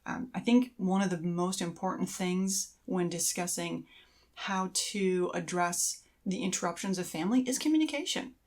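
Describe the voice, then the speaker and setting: A woman speaks as a podcast co-host in an easy conversational style. Her voice is somewhat low for a woman. She explains things in a supportive manner. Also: normal speed, darkish tone. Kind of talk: casual conversational podcast talk.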